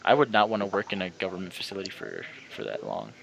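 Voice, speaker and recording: Bright-voiced man hosting a gaming channel. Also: sits low, very animated, fast talker, creaky. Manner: rambling, repeats himself, addresses viewers as guys. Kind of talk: casual let's-play commentary and outro.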